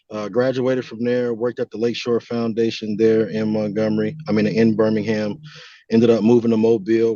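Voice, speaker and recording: American male podcast guest, lowish voice, earnest explaining, conversational, slightly muffled lo-fi sound. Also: darkish tone, no echo. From California, United States. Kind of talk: conversational interview answers.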